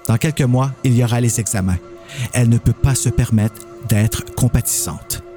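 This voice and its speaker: A man's voice: medium pitch, normal speed, over music. A man reading scripted narration for a dramatised horror story, as its narrator.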